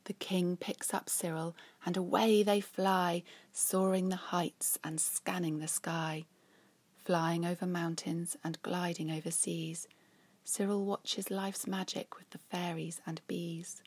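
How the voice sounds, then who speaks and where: Woman, lower-pitched, husky breathy voice, expressive storybook narration, dramatic delivery for young children. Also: takes it slowly, no room sound. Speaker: author reading own children's story.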